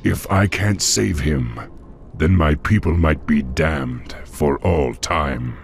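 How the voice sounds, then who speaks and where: An actor voicing a noble, earnest young orc war chief in a video game, with a deep gravelly voice and commanding manner. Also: male voice, slow pace, a little hiss or hum, full character voice. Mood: somber.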